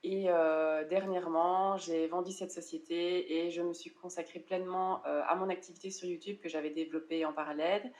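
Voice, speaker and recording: A woman from France in her thirties, speaking in a fairly low voice. She talks in a loose, conversational way, pausing with fillers and restarting her sentences as she tells her story. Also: steady tone, a little mellow. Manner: chatty, frequent hesitations and restarts. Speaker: interview guest, online seller coach. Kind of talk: casual conversational interview.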